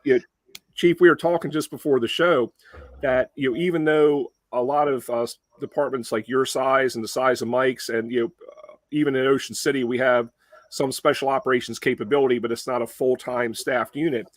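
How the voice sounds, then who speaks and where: A man's voice: mid-range voice, steady tone, a little fast, no room sound. A man with a Mid-Atlantic Delmarva accent hosting a casual firefighter webcast, chatty with lots of uh and um. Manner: chatty, many fillers. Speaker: webcast host.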